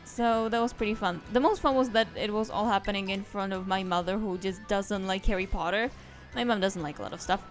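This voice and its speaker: A woman's voice: medium pitch, fairly quick, hardly any pauses, soft background music. Chatty woman streamer. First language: Czech. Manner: rambling and chatty, trails off into asides. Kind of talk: casual let's-play commentary.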